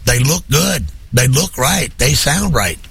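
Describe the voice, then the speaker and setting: A man preaching fervently on a program while hoarse with a head cold, building his points through emphatic, rising repetition. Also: mid-range voice, animated, a little slow, fairly bright tone. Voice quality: husky.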